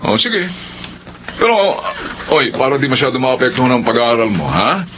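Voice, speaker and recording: A man playing a brisk, fatherly cinema boss with a Filipino accent in a radio drama. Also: mid-range voice, big pitch swings, background noise, natural voice. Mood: businesslike. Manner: emphatic, questioning tags. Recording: dull radio recording with loud music.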